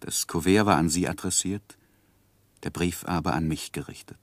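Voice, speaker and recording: An actor playing an earnest, lovesick young gentleman who narrates his life in a measured, introspective 1960s German radio drama. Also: low-pitched, no echo, lightly acted. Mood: wistful. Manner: measured, introspective literary delivery.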